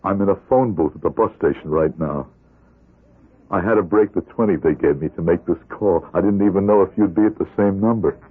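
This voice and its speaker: An actor playing a bitter, hopeless fifty-year-old convict in a radio drama, with terse, deadened delivery. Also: male voice, sits low, a little fast, husky. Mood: hesitant. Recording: muffled, tinny old radio broadcast.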